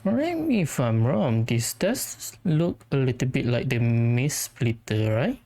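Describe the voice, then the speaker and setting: Casting: female gaming host with an extremely deep, dark voice, low-key, rambling casual commentary. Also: very animated, measured pace, a little hiss or hum. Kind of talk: casual let's-play commentary.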